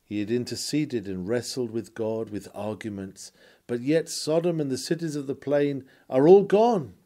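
A man reading a sermon aloud in a solemn, earnest, oratorical manner with dramatic pauses. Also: mid-range voice, dark tone. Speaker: sermon reader.

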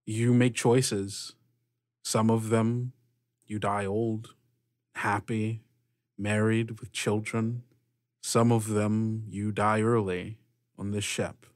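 A man's voice: on the low side, dry recording. Male game master, dry close sound. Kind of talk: improvised narration of a tabletop roleplaying game.

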